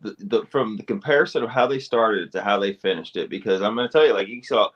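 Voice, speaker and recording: A man's voice: on the low side, quick pace, dull audio. A man co-hosting a casual podcast, rambling and swearing, with constant "like" and "you know" fillers. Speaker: podcast co-host.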